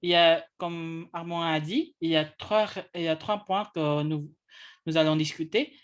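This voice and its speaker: A man's voice: fairly high pitch, fairly even intonation, normal speed, fairly bright tone, smooth. A man whose first languages are English and Indonesian, co-hosting a casual discussion and often restarting his phrases. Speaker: co-host.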